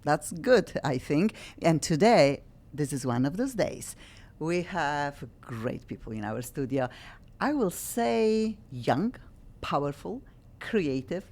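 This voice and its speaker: A woman hosts a talk radio show in English, her voice sitting low. She talks in a halting way and often stops to restart or search for a word. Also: big pitch swings, darkish tone. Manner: halting non-native English with many restarts.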